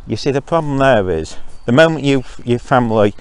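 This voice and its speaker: A man's voice: medium pitch, lively intonation, fairly quick, clear, resonant tone, no room sound. A man from Zambia hosting his own podcast, giving his opinions in a rambling monologue with frequent ums and restarts while outdoors walking his dogs. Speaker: podcast host.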